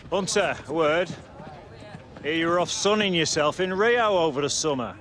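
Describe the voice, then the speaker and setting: A stern football manager. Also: male voice, fairly high pitch, expressive, normal speed, a bit bright. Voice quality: firm, commanding. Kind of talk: video game cutscene acting.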